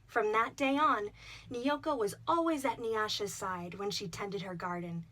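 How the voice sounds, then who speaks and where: A woman reading a storybook aloud to children with clear, expressive delivery. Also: mid-range voice, unhurried.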